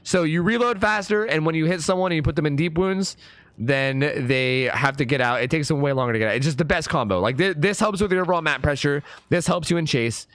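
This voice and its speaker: A young man with a hoarse, partly lost voice, chatting casually as a gaming YouTuber explaining his build. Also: on the high side, fairly bright tone, no room sound. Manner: chatty, fast and filler-heavy. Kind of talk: casual gameplay commentary.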